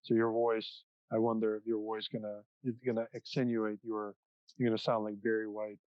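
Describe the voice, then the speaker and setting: A man who grew up in the US after moving from the Soviet Union, a music producer, explaining sound technicalities in a halting way, close to a studio mic. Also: lowish voice, flat delivery, dry recording. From Soviet Union, raised in the US. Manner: halting, frequent restarts while explaining. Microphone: dynamic studio mic up close. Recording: dull, dry podcast recording.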